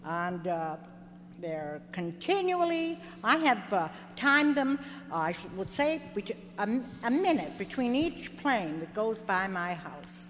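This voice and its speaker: A woman's voice: medium pitch, very animated, slow. An older woman, a local resident, making an aggrieved, insistent complaint at a public hearing. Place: large meeting hall. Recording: echoey hall with faint hum.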